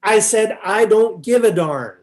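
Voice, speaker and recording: A man plays an emphatic comic character in an amateur stage sketch. The voice is high and slow, with drawn-out words, caught by a distant microphone. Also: animated, a little mellow. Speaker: readers theater performer. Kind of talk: amateur comedy sketch acting.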